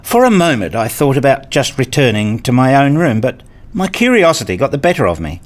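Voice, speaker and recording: A man's voice: mid-range voice, very animated, fairly quick, faint music behind, lightly acted. An actor playing a weary, irritable academic who narrates his own day in a radio play, with a dry, sardonic manner. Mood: sheepish. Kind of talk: scripted first-person narration, radio play.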